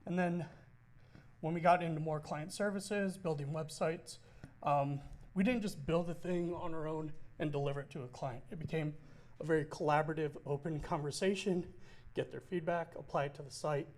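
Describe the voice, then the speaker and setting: A man speaks on a conference panel. His voice sits a bit high, and he explains in a steady, matter-of-fact way. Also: moderate pace.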